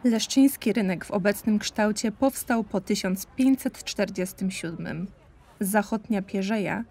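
A woman's voice: medium pitch, a little mellow, no room sound. A woman reading scripted documentary narration as a narrator.

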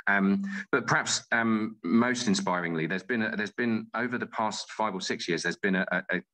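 A man's voice: fairly low pitch, normal speed, pushed and bright, low-quality recording. A middle-aged man chatting in an interview as a guest, often rephrasing as he thinks aloud. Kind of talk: casual interview talk.

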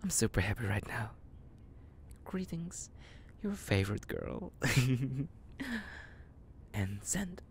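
A man's voice: mid-range voice, sing-song, slow pace, long pauses, slight background noise. A man playing a psychotic, possessive young kidnapper in an intimate audio roleplay, cooing menacingly in half whispers with creepy giggles. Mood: smug, elated.

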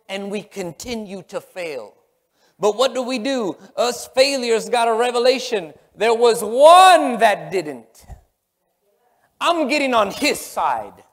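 A middle-aged man preaching a sermon in an emphatic, repetitive way from a church stage. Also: very high voice. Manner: emphatic, repetitive, swinging from loud exclamations to hushed murmurs. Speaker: pastor preaching. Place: large church sanctuary, on stage. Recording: long hall reverb from sanctuary.

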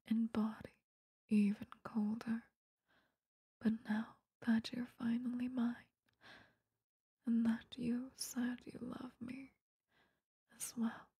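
Woman voicing possessive female vampire lover for ASMR roleplay: very breathy whisper, slow, seductive, doting, close and dry. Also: a little high, dark tone, dry recording, exaggerated voice. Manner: slow, intimate, cooing. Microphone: close microphone, dry.

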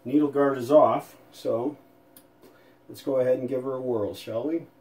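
A man with a soft-edged, mellow voice at an average pitch talks through a hands-on demonstration. He is folksy and unhurried, casually thinking aloud as he explains each step. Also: steady tone. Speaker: video host and radio restorer. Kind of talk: casual hands-on demo narration.

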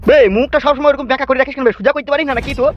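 Guy doing a pushy village dad in a cartoon, high voice, talks fast, dull audio with music. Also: fairly even intonation, noisy background. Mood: challenging. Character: brash, pushy village father of groom, comic cartoon. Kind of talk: comic cartoon dialogue in rural speech.

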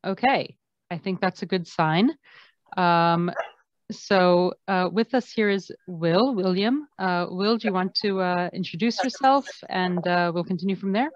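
A woman's voice: on the low side, a little slow, no room sound. A woman informally hosting an online class over a video call. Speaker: online course host. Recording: muffled video call audio.

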